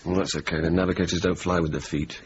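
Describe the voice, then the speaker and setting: An actor playing a wry young RAF night-fighter officer with dry, sardonic banter, in naturalistic radio drama style. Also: male voice, low voice, flat delivery, fast, natural voice. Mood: dry, downbeat. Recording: muffled, noisy old radio broadcast.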